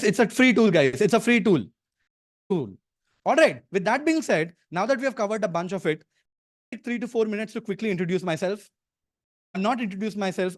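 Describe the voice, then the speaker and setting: A man's voice: high-pitched, no echo, studio quality. A man with an Indian accent, a native Telugu speaker from eastern India, hosting a live online workshop in an emphatic, informal way with repeated words and rhetorical questions. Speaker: online workshop host and entrepreneur. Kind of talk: live online presentation to an audience.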